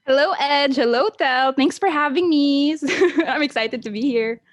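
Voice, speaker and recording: A woman from the Philippines speaks as a podcast guest, her being Hiligaynon. She sounds friendly and reassuring, slightly high-pitched, recorded dry and close. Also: measured pace, no room sound. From Philippines, Bacolod. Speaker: guest, content creator and coach. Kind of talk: casual podcast interview.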